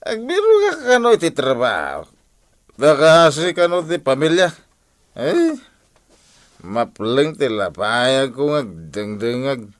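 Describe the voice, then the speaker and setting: Irritable jobless husband, very animated. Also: male voice, mid-range voice, slow pace, no room sound, clean studio sound. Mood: sulky, defensive. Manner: defensive, grumbling. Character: irritable, proud jobless husband, defensive and sulky. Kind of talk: radio drama acting.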